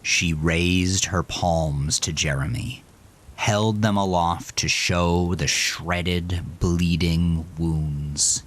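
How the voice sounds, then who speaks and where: A man's voice: low voice, fairly even intonation, extremely slow, fairly bright tone. A man narrating a horror story with brooding intensity.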